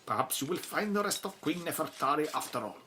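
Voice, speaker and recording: Man playing a bright-voiced archaeologist. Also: on the high side, animated, fast talker, slight background noise. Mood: friendly, thoughtful. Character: courteous but firm male Egyptian archaeologist and official. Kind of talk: radio drama acting.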